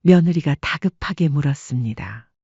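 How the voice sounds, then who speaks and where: A woman reads a scripted story calmly and evenly. Her voice is husky and mellow. The recording sounds dull and dry, close to the mic. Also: deep voice, normal speed, no echo. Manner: calm, even storytelling. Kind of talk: scripted audiobook narration.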